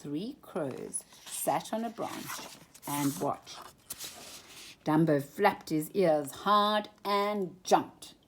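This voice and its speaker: A woman reading a children's storybook aloud at bedtime in an expressive, animated way. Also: deep voice, extremely slow, slight background noise.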